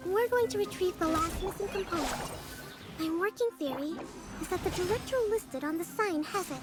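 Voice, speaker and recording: A woman voicing a gentle, helpful, sincere teenage girl in a game, speaking kindly. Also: extremely high pitch, lively intonation, fairly quick. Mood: thoughtful. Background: game music.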